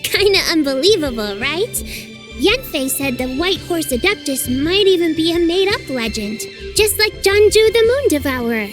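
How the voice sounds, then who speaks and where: An earnest young girl apprentice, sweet and reassuring. Her voice is very high and lively, bright with patient explaining. A cheerful game character eager to share what she knows. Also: normal speed, music in the background. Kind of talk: game voice acting.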